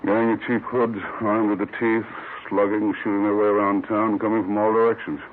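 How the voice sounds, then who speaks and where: Fast, low-voiced, brusque police chief, acted by a man. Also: level tone, lightly acted. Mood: weary, grim. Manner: brusque, hard-boiled. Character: gruff, grim senior police chief of detectives. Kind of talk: 1950s radio police drama dialogue. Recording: noisy, muffled old radio recording.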